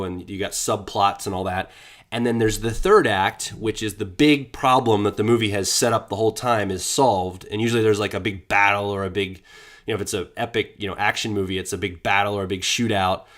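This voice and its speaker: A man co-hosting a podcast, joking casually and then explaining ideas at length, with a slight scratch in his throat. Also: on the low side. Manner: playful, rambling explainer. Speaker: podcast co-host.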